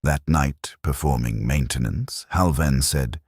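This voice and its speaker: A man narrating a scripted first-person war story in short, clipped sentences with a grave, measured delivery. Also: extremely low pitch, darkish tone, breathy edge, no echo, clean studio sound.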